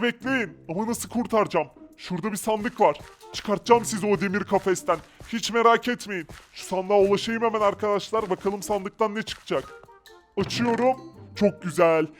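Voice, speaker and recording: A man giving playful game commentary, exclaiming and talking through each move he makes. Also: high voice. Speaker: gaming video player. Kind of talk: playful Minecraft adventure commentary.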